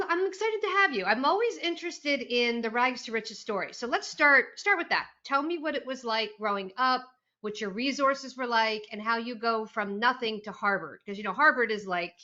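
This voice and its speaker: A woman's voice: on the high side, lively intonation, normal speed, bright, forceful tone. A woman hosting a podcast interview, chatty and eager as she asks questions. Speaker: podcast host. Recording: muffled remote podcast audio.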